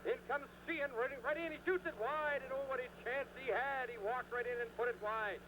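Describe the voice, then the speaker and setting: A man giving live, excitable play-by-play hockey commentary in an old radio broadcast. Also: very high voice, fairly quick. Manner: excitable, rising on scoring chances. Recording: noisy vintage 1970s radio broadcast.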